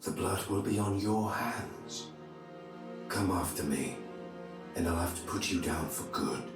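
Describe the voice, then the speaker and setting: An actor playing an arrogant, scornful crusader warlord with a pompous, taunting delivery in a game cutscene. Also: male voice, sits low, expressive, measured pace. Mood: cold menace. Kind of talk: dramatic video game cutscene acting. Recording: distant, very echoey, loud music behind.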